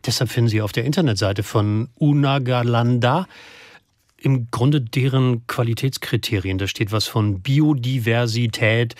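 A male radio host with a medium-pitched voice in a dry, close sound. He presents conversationally, putting things in articulate, well-formed summaries. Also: a little slow, dry recording.

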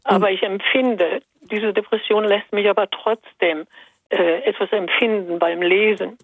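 A woman in her eighties from Italy, whose first language is Italian, calls in to a radio show and speaks hesitantly with many pauses and fillers. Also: medium pitch, steady tone, dry recording. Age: 80s. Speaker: caller. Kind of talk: radio call-in. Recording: dry phone line.

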